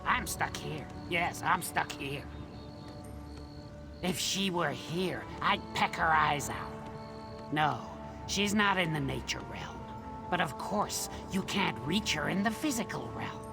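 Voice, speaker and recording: A woman playing a bitter, trapped crow spirit in a fantasy video game, grumbling enviously in a creaky voice. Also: sits low, expressive, takes it slowly, music in the background, full character voice. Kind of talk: video game character acting.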